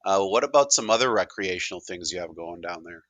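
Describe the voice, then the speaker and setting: A man with a fairly low, forceful voice presents a demo in a casual, unscripted way. He is enthusiastic and rambles, hesitating as he goes, in a dry, close sound. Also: expressive, a little fast, no echo.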